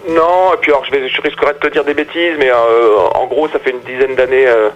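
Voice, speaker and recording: A man's voice: mid-range voice, fairly even intonation, a little fast, soft background music. A French-speaking singer and guitarist, a man, answering radio interview questions with many fillers and restarts. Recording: dull lo-fi radio sound.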